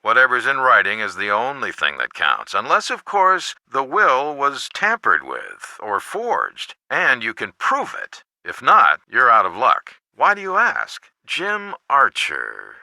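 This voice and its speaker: An actor playing a caring, cautious middle-aged father in a video game, with a measured, advisory manner. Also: mid-range voice, wildly swooping pitch, vocal fry, pushed and bright, no echo, exaggerated voice. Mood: advising. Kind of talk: scripted game voice acting.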